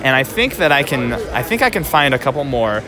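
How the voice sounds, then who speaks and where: A man hosting casual on-the-spot interviews at a busy convention, talking in a rambling, halting way. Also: medium pitch, very animated, music in the background, rough recording. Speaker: podcast host and interviewer. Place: busy public convention space.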